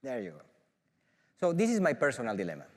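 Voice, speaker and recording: A man's voice: mid-range voice, huge pitch swings, fast talker, a little mellow, big-room sound. A middle-aged man from Mexico with a Mexican Spanish accent, giving an enthusiastic conference talk as a professor, with many "uh"s and restarts. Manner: enthusiastic, filler-heavy with frequent restarts. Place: large auditorium.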